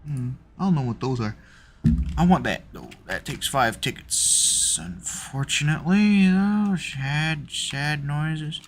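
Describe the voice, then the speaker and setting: A man's voice: on the high side, lively intonation, slow pace, slight background noise. A man streaming a building game, chatting casually and cracking crude jokes as he builds. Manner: crude joking banter while building. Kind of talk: casual gaming stream chat.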